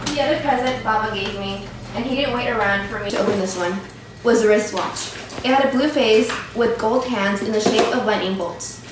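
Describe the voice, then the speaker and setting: Woman as regretful narrator recalling childhood, higher pitch, readers' theatre, echoing room. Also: normal speed, lightly acted. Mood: remorseful, reflective. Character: remorseful Afghan narrator recalling his boyhood. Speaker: student performer. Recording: echoey room, faint background noise.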